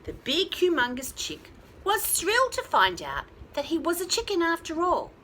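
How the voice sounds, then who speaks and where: A woman's voice: very high voice, big pitch swings, normal speed, fairly bright tone, a little hiss or hum, rough recording. A woman reading a picture book expressively to young children and explaining things simply. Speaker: children's storyteller.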